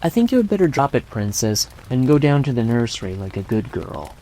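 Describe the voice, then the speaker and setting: A woman voicing a gruff, sulky young boy who speaks bluntly and indignantly. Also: very deep voice, very animated, fairly quick, mellow, slight background noise, pitched below the performer's own voice, heavily acted, poor audio. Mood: matter-of-fact, gruff.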